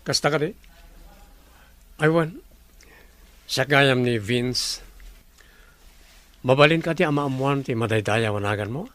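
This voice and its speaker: An actor playing a polite, welcoming resort manager in a radio drama. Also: male voice, medium pitch, measured pace, pauses often, a bit bright, no room sound, lightly acted. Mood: courteous.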